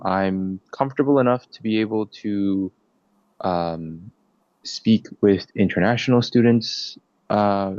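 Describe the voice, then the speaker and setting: A young man with a very low voice answers interview questions in a halting, casual way, stopping often to think. The sound is dry and a little dull, like a lo-fi recording. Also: darkish tone, no echo. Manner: hesitant, many fillers and restarts. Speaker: interviewee, nursing student.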